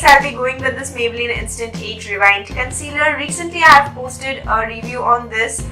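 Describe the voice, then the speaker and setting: A woman's voice: fairly high pitch, pushed and bright. A young woman with a cold and a nasal voice, chatting to viewers while doing a makeup tutorial and apologising for her absence. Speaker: beauty vlogger. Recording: roomy echo, faint music behind.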